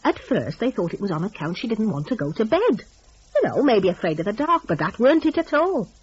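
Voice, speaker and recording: A woman's voice: medium pitch, big pitch swings, fairly quick, slightly rough, lo-fi sound. A woman narrating a dramatic story in a chatty, gossipy, folksy dialect.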